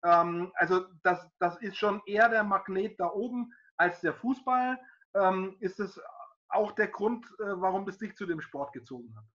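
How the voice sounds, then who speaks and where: A man's voice: high voice, animated, moderate pace, fairly bright tone, muffled recording. A middle-aged man, a sports reporter hosting an interview over a video call, asking questions in a friendly, chatty way.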